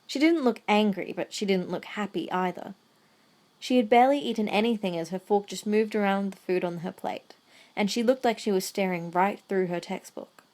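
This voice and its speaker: A woman's voice: mid-range voice, moderate pace, a little mellow. A woman reading scripted third-person narration for an amateur audio drama.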